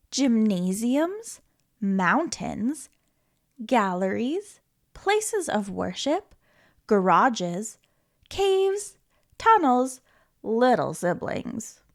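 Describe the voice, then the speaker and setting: A woman reading a children's book aloud as a storytime host, in an expressive, playful manner with questions to the listener and dramatic pauses. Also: on the high side. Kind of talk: animated children's read-aloud.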